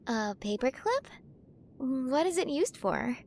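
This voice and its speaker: Woman playing a curious, high-voiced alien queen. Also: very animated, slow pace, slight background noise, heavily acted. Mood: excited, curious. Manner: playful, wondering, gently condescending. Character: curious, possessive alien queen, ancient, doting on her human. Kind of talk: ASMR roleplay, acted monologue to the listener.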